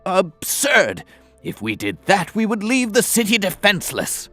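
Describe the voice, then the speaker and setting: A man's voice: high voice, huge pitch swings, measured pace, pushed and bright, soft background music, full character voice. A man playing an emphatic, blustering lord of a royal council in a fantasy audio drama. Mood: indignant. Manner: emphatic, spluttering.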